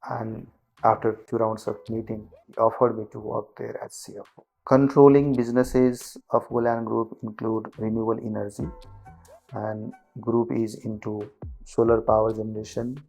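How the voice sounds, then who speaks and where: A middle-aged man from Nepal, a company finance chief, answering interview questions in a measured way with frequent restarts. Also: fairly low pitch, little pitch movement, gravelly, darkish tone, soft background music, low-quality recording. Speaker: guest, group CFO.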